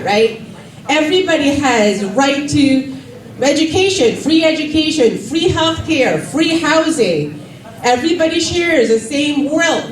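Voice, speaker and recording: High-voiced Korean-accented woman at a rally. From South Korea. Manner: asks the crowd rhetorical questions, stresses numbers. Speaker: campaign rally speaker. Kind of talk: political speech. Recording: distant mic in echoey room with faint background noise.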